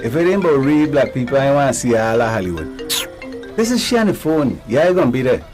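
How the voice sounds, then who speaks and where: Man acting as young man, Caribbean accent, offhand, animated, mid-pitched, radio drama, music behind. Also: fast, close to an everyday voice. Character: casual young man chatting on the phone.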